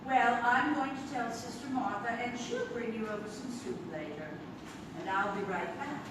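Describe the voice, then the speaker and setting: A woman's voice: on the high side, normal speed, lightly acted. A woman acting in a comic stage play as a sweet, fussy old aunt, genteel and doting. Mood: hospitable. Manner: genteel, doting, sing-song. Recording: distant, very echoey theatre recording with hiss.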